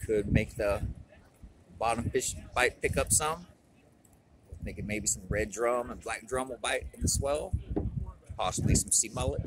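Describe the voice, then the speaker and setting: A man's voice: mid-range voice, unhurried, long pauses. A man giving a plain, matter-of-fact fishing report, filmed outdoors on an ocean pier with a handheld phone. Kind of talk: casual fishing report while filming. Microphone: handheld phone camera mic. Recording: loud wind and surf noise.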